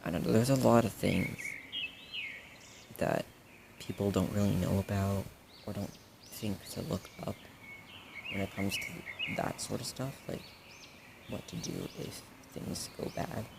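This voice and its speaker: A man's voice: fairly low pitch, unhurried, creaky, pauses often. A young man playing a supportive best friend in an audio roleplay, talking casually and hesitantly in rambling, trailing phrases, on a noisy recording. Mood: concerned, supportive. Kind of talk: audio roleplay addressed to the listener, casual improvised chat.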